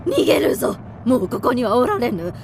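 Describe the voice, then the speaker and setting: A woman's voice: on the high side, very animated, brisk, vocal fry, crowd noise. A woman playing an ancient, imperious demon mother who speaks like an old crone in archaic, commanding phrases in a radio drama. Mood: frantic, alarmed.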